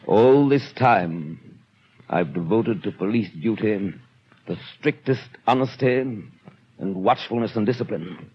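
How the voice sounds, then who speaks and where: An actor playing a stern, vengeful police inspector in a grave, declamatory 1930s radio drama style. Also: male voice, on the low side, a little hiss or hum, close to an everyday voice. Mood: bitter, resolute. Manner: grave, declamatory, clipped pronouncements. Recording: muffled 1937 radio broadcast, music behind.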